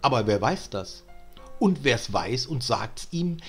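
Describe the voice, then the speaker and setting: A man's voice: mid-range voice, animated, slow, slightly rough, soft background music, exaggerated voice. A man voicing a knowing, ominous talking raven in a fairy-tale reading. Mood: foreboding.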